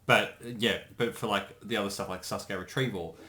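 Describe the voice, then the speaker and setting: A man's voice: on the low side, steady tone, fairly quick, pushed and bright, slight background noise. A man co-hosting a commentary who chats casually and often corrects himself mid-sentence. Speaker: co-host and voice actor.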